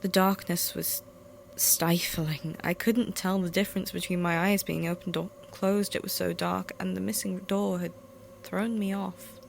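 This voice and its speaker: A woman's voice: fairly low pitch, little pitch movement, measured pace, vocal fry, rough recording. A woman reading a scripted first-person horror story as narrator. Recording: faint background noise, amateur recording.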